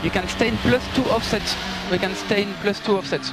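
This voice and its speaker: A man's voice: high-pitched, a little fast, over loud music. A man working as a race engineer, giving polite, clipped technical instructions and gap updates over team radio. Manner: polite, instructive, reporting numbers and gaps. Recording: noisy team radio with engine and vehicle noise. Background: loud car and engine noise.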